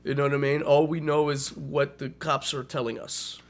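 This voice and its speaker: Man, radio co-host, gravelly, slightly high voice, hoarse, profane ranting. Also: flat delivery, normal speed. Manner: ranting, profane, flares up loud when laughed at. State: a little hoarse. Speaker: podcast co-host. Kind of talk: casual radio chat.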